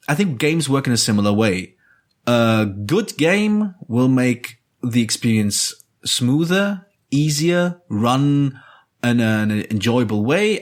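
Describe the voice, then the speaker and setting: A man co-hosting a casual podcast about board games, explaining ideas in a measured, analytical way with dry wit. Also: medium pitch. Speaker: podcast co-host.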